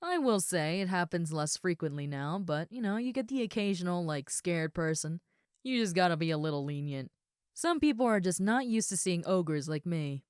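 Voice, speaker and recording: A woman playing a big, friendly ogre roommate in an audio roleplay, chatting casually and gently to the listener. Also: on the low side, expressive, normal speed, clear, resonant tone, no echo, clean studio sound, natural voice. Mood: warm, reassuring. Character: huge muscular red female ogre roommate, friendly and easygoing.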